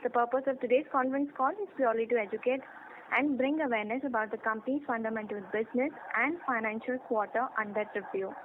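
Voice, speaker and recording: Fast-talking Indian woman on a tinny phone line. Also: a little high, a little hiss or hum. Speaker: conference call moderator. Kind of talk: formal scripted introduction. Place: conference phone call.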